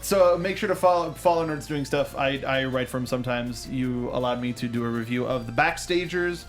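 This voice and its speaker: A man's voice: mid-range voice, fairly quick, faint music behind. A man hosting a film discussion show in a casual, chatty, rambling way with joking asides.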